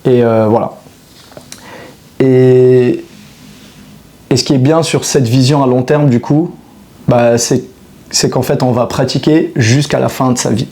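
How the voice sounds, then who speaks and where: A man's voice: mid-range voice, steady tone, measured pace, long pauses, warm tone. A man in his thirties, a fitness coach, talking reflectively to camera in a casual monologue, full of hesitations and restarts. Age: 30s.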